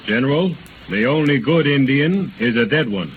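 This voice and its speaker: A man's voice: medium pitch, lively intonation, normal speed, natural voice. An actor playing a hot-headed, hawkish frontiersman in a declamatory 1930s radio style. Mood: vehement, alarmed. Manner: vehement, alarmist, declamatory. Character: hot-headed frontier friend of a general, hateful hawk. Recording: noisy, tinny 1930s radio recording.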